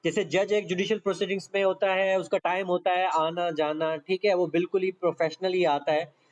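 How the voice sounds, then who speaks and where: High-pitched Pakistani man giving a law lecture. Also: little pitch movement, fairly quick. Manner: explains step by step with repetition and frequent check-in tags. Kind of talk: conversational exam-prep lecture, explaining legal text. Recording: dull, lo-fi lecture audio.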